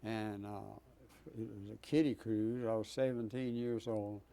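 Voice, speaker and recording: A man, a Navy veteran recounting his service in an oral history interview, speaking haltingly with many pauses and fillers. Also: on the low side, steady tone, gravelly, dark tone. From Texas. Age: 90s.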